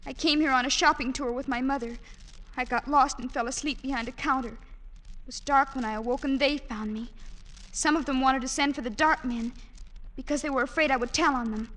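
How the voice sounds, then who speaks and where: An actress playing a frightened young woman held captive, speaking softly and pleadingly in an old-time radio drama. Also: high voice, fairly even intonation, a little fast, rough recording. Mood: fearful. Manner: soft, fearful and pleading.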